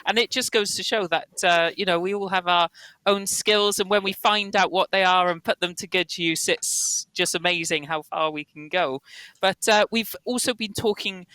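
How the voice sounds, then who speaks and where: A man's voice: high-pitched, normal speed, bright, forceful tone, smooth, dry recording, low-quality recording. A man reading out viewers' chat messages on a live online show, upbeat and laughing lightly. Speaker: chat moderator.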